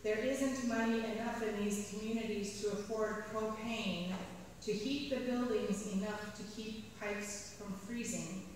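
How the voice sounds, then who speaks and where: A middle-aged woman priest reading a sermon from the pulpit, measured, slowing down to stress key phrases. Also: medium pitch, steady tone, darkish tone, a little hiss or hum. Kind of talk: read sermon. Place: large church sanctuary. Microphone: pulpit mic at a distance. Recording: echoey church hall from far off.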